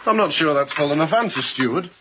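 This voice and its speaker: An actor playing a youngish, sceptical ship's mate in a radio drama, with a smooth, sardonic, probing manner. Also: male voice, fairly high pitch, animated, fast talker, muffled recording, noisy background, natural voice.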